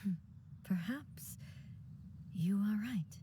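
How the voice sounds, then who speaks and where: An actress playing a composed, gentle, solemn female ruler in a video game. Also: medium pitch, very slow, noisy background. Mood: gentle, hesitant.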